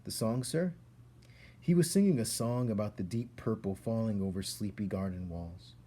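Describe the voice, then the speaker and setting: A man's voice: lowish voice, moderate pace, mellow, close to an everyday voice. A man voicing a small, meek young male mouse, polite, hesitant and earnest, in a storybook.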